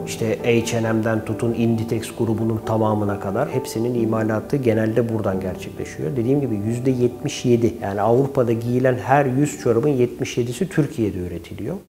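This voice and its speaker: A man in his forties from Turkey, a company chairman, explaining his business matter-of-factly in an interview, recorded indoors on a lapel mic. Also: mid-range voice. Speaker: company chairman, industry association head. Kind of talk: documentary interview talk about own business. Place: small office and showroom, indoors. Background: background music.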